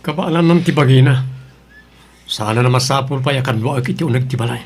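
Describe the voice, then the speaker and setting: A man's voice: mid-range voice, brisk, slightly rough. A man with an Ilocano accent playing a stubborn son and cold husband in an audio drama. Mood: defensive. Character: stubborn adult son, cold husband leaving his pregnant wife.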